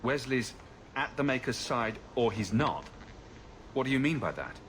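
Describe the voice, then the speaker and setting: An actor playing an earnest, supportive hero in a fantasy video game. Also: male voice, mid-range voice, big pitch swings, moderate pace, a crowd in the background, close to an everyday voice. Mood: probing, gentle.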